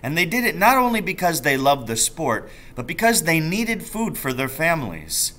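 Man reading as a lively, roguish storybook dad. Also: on the high side, moderate pace, pitched above the performer's own voice. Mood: proud, reminiscing. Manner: relishing, emphatic storytelling. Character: enthusiastic, roguish father, a proud poacher telling tales.

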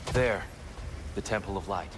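Actor as deep-voiced melancholy gentle god. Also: male voice, normal speed, heavy background noise, close to an everyday voice. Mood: wistful. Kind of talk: video game dialogue acting. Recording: music behind the voice.

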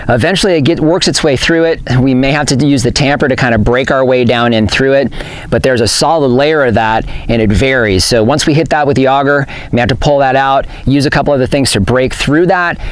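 A man's voice: medium pitch, a little fast. A middle-aged man giving a casual, practical how-to explanation outdoors on open farmland, filmed by a camera a few metres away. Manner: plain, practical, talks with his hands. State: a little out of breath. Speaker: homesteading vlogger.

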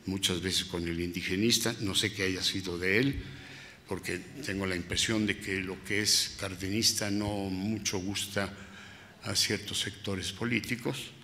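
An elderly man in his eighties, from Spain, reminisces at a panel in a large museum hall. His low voice has a bright, forceful edge, broken by pauses and self-corrections. A long hall reverb trails behind every phrase from the table microphone. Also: fairly even intonation, a little hiss or hum. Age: 80s. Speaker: restorer and panelist. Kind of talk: panel talk, personal reminiscence. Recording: hall reverb from public-address room.

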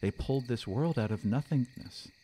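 A man voicing a serene, reverent female envoy of the gods in a tabletop game, speaking in measured, formal proclamations. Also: medium pitch, warm tone, slight background noise, lightly acted. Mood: reverent, earnest. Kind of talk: tabletop roleplay acting.

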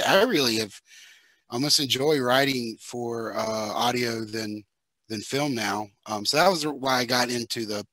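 A man's voice: medium pitch, pushed and bright, no room sound. An American man in his forties talking casually as a guest on a panel, speaking into a headset. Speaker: writer-producer, guest panelist. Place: home room, indoors, video call. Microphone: headset with boom mic.

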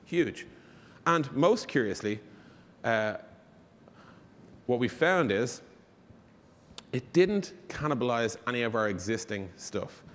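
A man's voice: mid-range voice, lively intonation, slow pace, pauses often, a bit bright. A man in his thirties giving an informal, emphatic conference presentation from a stage. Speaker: conference speaker. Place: large conference stage, indoors. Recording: echoey hall reverb.

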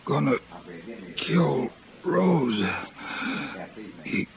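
An actor playing a desperate, wounded man in a radio drama, gasping weakly and halting between words. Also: fairly high pitch, lively intonation, gravelly, crowd noise, full character voice. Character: stabbed, desperate man in love, barely able to speak. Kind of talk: 1950s radio drama acting. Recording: tinny, hissy old radio recording.

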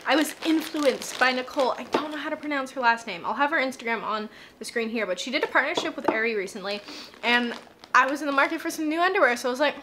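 A woman's voice: sits high, fast, noisy background. A woman in her twenties chatting casually to the camera at home, bubbly and gushing, with lots of filler words. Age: 20s. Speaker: beauty vlogger. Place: home, bathroom and living room.

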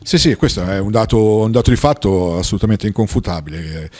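A man in his fifties, a guest on a football talk show, giving measured, emphatic opinions into a handheld mic in a studio. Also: lowish voice, lively intonation, darkish tone. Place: studio with dark curtain. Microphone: handheld mic held by the host.

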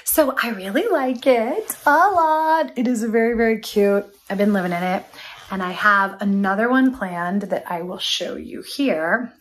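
A woman's voice: medium pitch, measured pace, slight background noise. A woman in her forties chatting casually about her knitting projects, upbeat and thinking aloud, with frequent laughs. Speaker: knitwear designer vlogger. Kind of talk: casual show-and-tell chat. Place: sunny room by a window.

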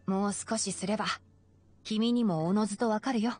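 Woman doing boyish fox-eared ranger guy, anime game, calm explainer voice, bit of music behind. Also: medium pitch, close to an everyday voice. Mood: calm, explaining. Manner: measured, explanatory. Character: calm, knowledgeable young male fox-eared forest ranger. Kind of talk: Japanese anime game voice acting.

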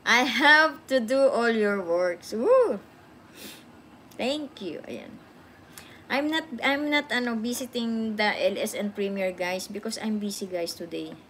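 A woman's voice: fairly high pitch, measured pace, a bit bright, slight background noise. A woman in her sixties chatting casually on a livestream from her phone, thanking viewers and answering comments while sounding sleepy. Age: 60s. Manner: chatty, mixes languages, repeats thanks to viewers. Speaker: livestream host. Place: indoor room with sofa. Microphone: phone camera at arm's length.

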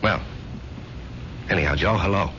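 Actor as deep-voiced, earnest, self-doubting American husband. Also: male voice, normal speed, natural voice. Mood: nervous, insistent. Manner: earnest, hesitant. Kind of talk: American radio drama acting. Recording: dull, noisy old radio recording.

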